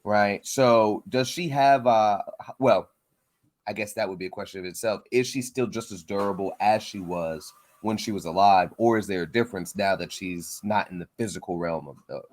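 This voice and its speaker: A man with a fairly low voice hosts a comics interview show. He speaks casually and informally, feeling his way through questions out loud with a halting, conversational flow. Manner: informal, halting, thinking aloud.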